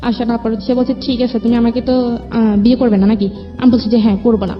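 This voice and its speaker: Bangladeshi female guest, highish voice, recounting personal story; dull lo-fi audio over music. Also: level tone, quick pace. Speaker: guest telling own life story. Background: background music.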